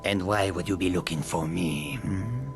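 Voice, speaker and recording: A very slow, deepish cat-folk trader from a fantasy game. He speaks with formal courtesy and keeps a questioning, suspicious edge. Faint music plays behind him. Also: expressive. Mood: wary. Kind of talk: video game character dialogue.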